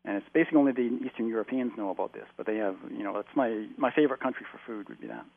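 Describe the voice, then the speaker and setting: A man from Canada, a guest on a phone interview, rambling in relaxed stories with plenty of fillers, heard over a tinny phone line. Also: lowish voice, very fast, creaky. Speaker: guest, long-term traveller.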